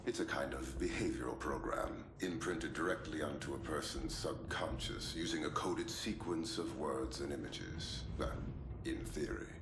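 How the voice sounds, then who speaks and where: An actor playing a stern doctor questioning a patient in a game cutscene, measured and clinical, sometimes hesitant. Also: male voice, extremely low pitch. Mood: clinical, wary. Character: probing, stern male doctor interrogating a patient. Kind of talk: dramatic video game cutscene acting. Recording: echoey room with clear background noise.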